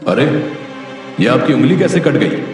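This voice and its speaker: Bossy middle-aged miser merchant for a cartoon male actor, mid-pitched, scolding, very echoey and distant with music behind. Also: lively intonation, normal speed, a little mellow, close to an everyday voice. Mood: fussy. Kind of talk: Hindi cartoon voice acting.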